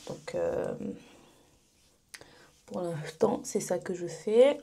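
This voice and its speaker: A woman's voice: lowish voice, expressive, drawn-out words, vocal fry, dark tone, slightly rough. A young adult woman vlogging casually to camera, rambling with fillers and restarts. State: stuffy from pollen allergy. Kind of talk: casual vlog chat to camera. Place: bedroom at home, then small residence gym.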